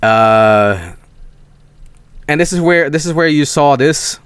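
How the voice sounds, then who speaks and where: A man's voice: on the high side, expressive, slow pace, a bit bright, smooth, no echo. A young man from Sweden, telling rambling stories on a casual stream, swearing freely and restarting his sentences.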